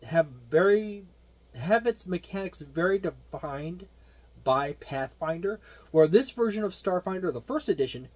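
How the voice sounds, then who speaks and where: A man with a voice a bit higher than most gives a casual, unscripted review. His delivery is halting and digressive, stopping and starting as he works through the details of a product. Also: lively intonation, a little mellow. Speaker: tabletop gaming reviewer.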